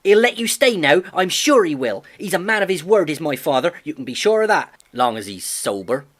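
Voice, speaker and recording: A man's voice: on the high side, very animated, brisk, a bit bright, voice pushed up. A man reading an audiobook, voicing an earnest, devoted fifteen-year-old farm boy with boyish enthusiasm. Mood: proud. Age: 15 (figure). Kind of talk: audiobook character voice.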